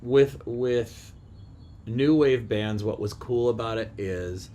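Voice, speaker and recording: A man chatting casually as a podcast co-host, piecing his thoughts together in halting fragments. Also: mid-range voice, dark tone. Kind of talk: casual music chat.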